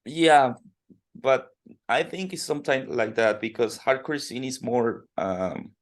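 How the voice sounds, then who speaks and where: A man from Colombia interviewing a musician, asking slow, halting questions full of fillers. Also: medium pitch. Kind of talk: podcast interview in second language.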